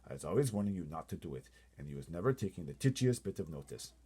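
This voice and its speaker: A man voicing a gentle, earnest friendly giant who speaks emphatically in quaint, mangled grammar for a storybook. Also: low-pitched, very animated, quick pace, breathy edge, pitched below the performer's own voice, full character voice. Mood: scolding.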